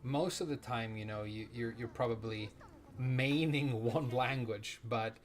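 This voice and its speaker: A man's voice: mid-range voice, a little slow. A man streaming a video game, chatting casually, reading out viewer questions and swearing now and then as he plays. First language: Japanese. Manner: rambling, swears casually, reads chat questions aloud. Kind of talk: casual live-stream chat while playing.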